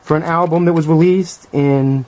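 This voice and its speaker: A middle-aged man giving a casual, unscripted show-and-tell commentary as a collector, thinking aloud in a halting, hesitant way. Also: a little high, fairly even intonation, a little mellow, slight background noise, poor audio. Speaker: vlogger and music collector showing finds.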